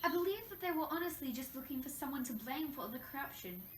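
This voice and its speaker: High-pitched woman playing a wronged young queen, echoey. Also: animated, quick pace. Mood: hurt, sorrowful. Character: Marie Antoinette, wronged young French queen recalling her troubles. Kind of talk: scripted question-and-answer role play. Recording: echoey room, distant mic, faint noise.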